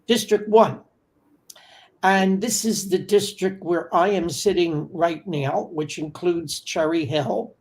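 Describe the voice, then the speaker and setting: A man's voice: high voice, steady tone, slow pace. An older man in his seventies hosting a casual interview over a video call, often repeating things to confirm them. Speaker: podcast host. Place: home study with bookshelves.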